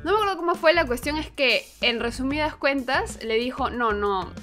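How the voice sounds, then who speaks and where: A woman's voice: high voice, expressive, normal speed, a bit bright, faint music behind. A young woman co-hosting a channel, telling a story in a casual, conversational way. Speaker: co-host of a channel.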